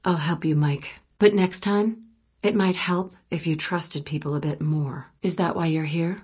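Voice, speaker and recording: A woman's voice: sits low, fairly even intonation, a little slow, a little mellow, breathy edge. An actress voicing a wry, probing, guarded woman journalist in a spy thriller video game, speaking in a dry, teasing, conversational way. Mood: guarded, earnest. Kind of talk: naturalistic video game dialogue acting.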